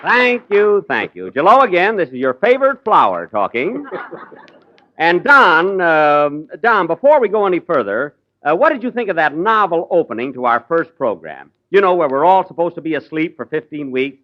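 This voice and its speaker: A man's voice: a little high, sing-song, moderate pace. Wry man hosting old radio comedy. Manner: wry, indignant comic timing. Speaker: comedian host. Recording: dull old radio broadcast recording. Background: studio audience laughter.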